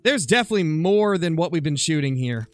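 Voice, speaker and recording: A man with a somewhat high-pitched voice talks casually on a gaming livestream. He thinks aloud in a conversational way while replying to viewers. Faint music plays behind him. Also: expressive, brisk, dry recording. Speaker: live streamer, gamer. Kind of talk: casual livestream chat over gameplay, answering viewer comments.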